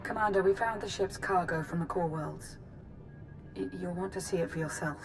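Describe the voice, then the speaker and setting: A woman's voice: fairly low pitch, moderate pace, noisy background, lightly acted. An actress playing a pragmatic female officer in a video game, businesslike and dry. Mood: cool, pragmatic.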